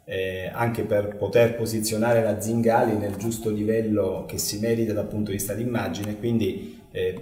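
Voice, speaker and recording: A middle-aged man, a loudspeaker company owner, answering interview questions with many hesitations in a showroom. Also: fairly low pitch, level tone, moderate pace, far from the mic. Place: living room showroom with speakers. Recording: roomy, off-mic sound.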